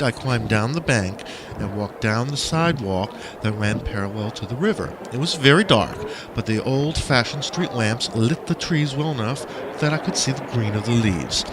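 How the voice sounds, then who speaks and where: A man reading first-person fiction aloud as an audiobook narrator, with an expressive, dramatic delivery. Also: medium pitch, background noise.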